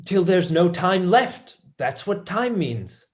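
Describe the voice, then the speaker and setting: Man acting earnest, animated young scientist. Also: sits high, slow, full character voice. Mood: earnest, absorbed. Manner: earnest, explanatory. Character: Valentine, earnest young male scientist explaining excitedly. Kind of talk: staged play reading.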